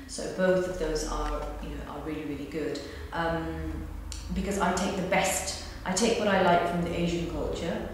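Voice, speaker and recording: A woman's voice: low voice, fairly even intonation, moderate pace, hardly any pauses, slight background noise. Echoey thirty-something woman, thoughtful with ums. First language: Punjabi. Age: 30s. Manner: thoughtful, with frequent ums and restarts. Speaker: interviewee. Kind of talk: answering interview questions. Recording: echoey room recorded from a distance.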